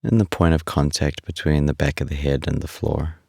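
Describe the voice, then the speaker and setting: A man leading a guided body scan meditation in a calm, unhurried, soothing way, leaving long pauses between instructions. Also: extremely low pitch, creaky, breathy edge, no room sound, clean studio sound.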